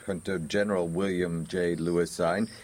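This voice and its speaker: A deep male voice plays a factual expedition member in a radio play. Faint insect noise sits behind him. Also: steady tone, unhurried. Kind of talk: radio drama dialogue.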